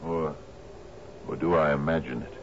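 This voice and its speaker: An actor playing a troubled older sea captain in a 1970s radio drama, with a hesitant, stammering delivery. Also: male voice, rumbling low, fairly even intonation, normal speed. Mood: haunted. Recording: muffled, noisy 1970s radio broadcast.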